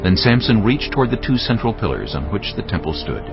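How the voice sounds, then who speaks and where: A man narrating a dramatized audio Bible in a solemn, measured storytelling manner. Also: on the low side, very animated, vocal fry. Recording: slightly dull sound, faint music.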